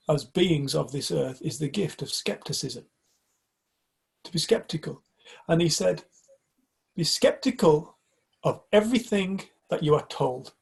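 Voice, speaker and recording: A man's voice: a little high, vocal fry, slightly rough. A man from the UK in a casual group discussion, talking reflectively and hesitantly, often restarting his sentences. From United Kingdom. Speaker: community group member.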